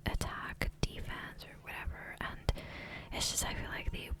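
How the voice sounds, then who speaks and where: A woman whispering softly and breathily into a close microphone in a rambling, hesitant ASMR gameplay commentary, often trailing off. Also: a little slow. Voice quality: soft, breathy unvoiced whisper. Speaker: ASMR gaming creator. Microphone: close USB condenser microphone.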